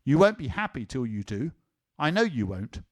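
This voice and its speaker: A man's voice: medium pitch, very animated, moderate pace. A man voicing a sleepy, earnest little boy who argues with his sister in a reasoning tone. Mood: earnest, scolding. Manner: earnest, reasoning, a bit stubborn.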